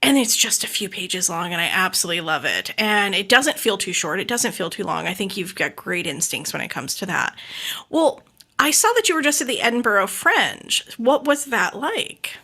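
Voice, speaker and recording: Bright-voiced, enthusiastic woman podcast host. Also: medium pitch, lively intonation, normal speed. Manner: gushing, enthusiastic, laughs often. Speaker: podcast host interviewing a playwright. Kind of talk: casual interview chat.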